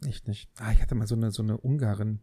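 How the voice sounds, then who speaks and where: Podcast dude, gravelly and mellow, dry sound, jokes a lot and says um all the time. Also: male voice, lowish voice, fairly even intonation, fast, no echo. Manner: joking, many fillers and restarts. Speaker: podcast co-host. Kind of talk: casual chat.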